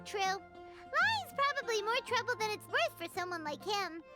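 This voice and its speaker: A woman voicing a tiny, cheerful, childlike fairy companion in a video game, squeaky and bubbly with an exaggerated delivery. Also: big pitch swings, a little slow, full character voice. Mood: chirpy. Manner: bubbly, exaggerated, refers to self in third person. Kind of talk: video game cutscene dialogue. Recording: music behind the voice.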